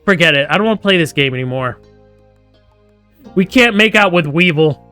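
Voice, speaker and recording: A man's voice: high-pitched, lively intonation, normal speed, sharp tone, clean tone, soft background music. A man hosting a gaming live stream, playfully reading story text aloud and breaking off into theatrical, jokey asides.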